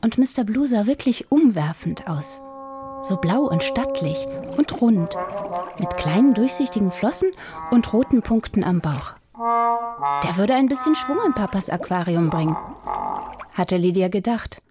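Female storyteller for a children's radio play, medium pitch, expressive scripted narration with music clearly behind. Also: unhurried.

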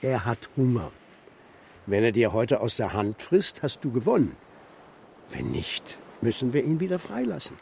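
A stern old grandfather in a children's radio drama. He talks in short, commanding phrases, gruff in manner, his medium-pitched voice moving very freely up and down. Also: big pitch swings, moderate pace, darkish tone, slight background noise. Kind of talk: German children's radio play acting.

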